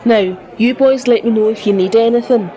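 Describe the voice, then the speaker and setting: A woman's voice: fairly high pitch, brisk, dark tone, lo-fi sound, some chatter behind, lightly acted. An actress playing an affectionate, bossy, fussing mother in a radio drama, doting and teasing.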